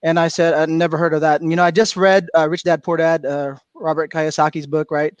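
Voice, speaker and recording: Animated man on a dry video call. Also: a little high, fairly quick, smooth, no echo, muffled recording. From Florida, USA. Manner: animated storytelling, laughs often. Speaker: guest speaker. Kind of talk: casual livestream chat.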